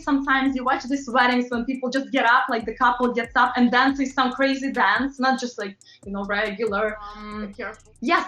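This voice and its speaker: Chatty woman from Latvia, higher voice, interview guest; faint music under a muffled recording. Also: pushed and bright. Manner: chatty, laughs often, many fillers. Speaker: interview guest, pop singer. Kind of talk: casual interview chat. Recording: roomy, dull lo-fi sound with faint music.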